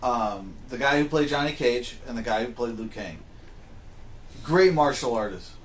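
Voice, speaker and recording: A man's voice: medium pitch, animated, normal speed, a little hiss or hum. A man hosting a casual discussion in an informal, opinionated way. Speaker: podcast host. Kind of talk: casual discussion of video game movies. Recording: roomy, echoing room sound.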